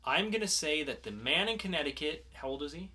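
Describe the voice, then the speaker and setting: A man with a bright, forceful voice co-hosts a casual podcast. His delivery swings widely in pitch and sits a little high. He slips playfully into game-show announcer mode and talks loosely. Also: expressive, brisk. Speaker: podcast co-host.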